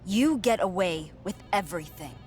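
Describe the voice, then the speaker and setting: A woman's voice: fairly low pitch, animated, normal speed, a little mellow, faint music behind, natural voice. Woman acting a defiant, determined female wrestler. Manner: firm, confrontational. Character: defiant, determined female pro wrestler challenging her boss. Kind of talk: scripted video game cutscene acting.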